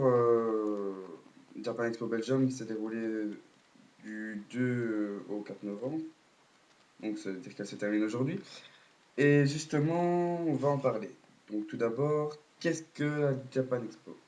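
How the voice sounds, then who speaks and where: A man's voice: medium pitch, warm tone. Hesitant man with a cold. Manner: hesitant, many fillers and restarts. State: has a cold, coughing. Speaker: video blogger. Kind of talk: casual audio recap.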